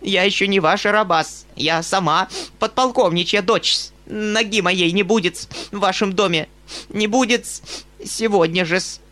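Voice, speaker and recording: A man narrating an audiobook voices a spiteful female hanger-on who speaks in a sharp, indignant, falsely servile way. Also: sits high, measured pace, pitched above the performer's own voice. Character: spiteful middle-aged female hanger-on, shrill and sniping.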